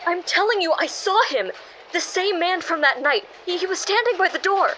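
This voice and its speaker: A woman's voice: extremely high pitch, lively intonation, moderate pace, sharp tone, slight background noise, heavily acted. A woman acting the part of a distraught, defiant and sarcastic woman in an audio drama. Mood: desperate, pleading. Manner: emotional, swearing, bitingly sarcastic. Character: distraught, defiant woman haunted by a traumatic night.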